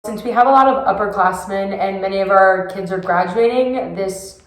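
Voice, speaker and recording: A woman's voice: mid-range voice, normal speed. A young woman, a youth ministry volunteer leader, answering interview questions in an earnest, enthusiastic way. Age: young adult. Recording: echoey room.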